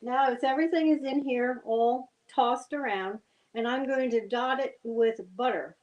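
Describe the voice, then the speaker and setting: An older woman from New Jersey walks through a family recipe step by step. Her voice sits a bit high, and her manner is homey and plain-spoken. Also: unhurried, a little mellow, reverberant, highs cut off. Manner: homey, explains steps plainly. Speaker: guest cook. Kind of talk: cooking demonstration chat.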